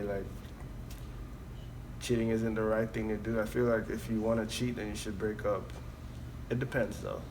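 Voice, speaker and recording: A man in his early twenties, measured and reflective in casual discussion. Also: fairly low pitch. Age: 20s. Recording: noisy room ambience.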